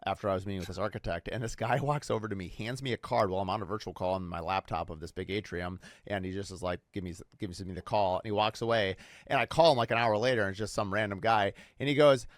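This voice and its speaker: A man hosting a podcast, telling stories in a very loud voice and laughing often. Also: on the low side, dry recording. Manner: fast, animated storyteller who laughs often. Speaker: podcast host. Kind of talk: casual interview chat.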